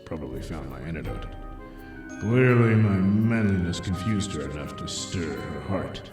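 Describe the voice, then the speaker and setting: A man voicing a pompous, brooding, stoic masked superhero with a deadpan manner in a comic audio cartoon. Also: low voice, moderate pace, echoey room, music in the background, voice pushed down.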